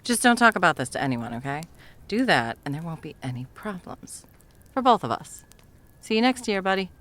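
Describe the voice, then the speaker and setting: A woman's voice: on the low side, very animated, a little fast, a bit bright. A woman playing a bumbling, flustered female Christmas elf in a whimsical comedic audio roleplay, evasive and sarcastic, rambling and backtracking as she goes. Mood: defensive, brisk.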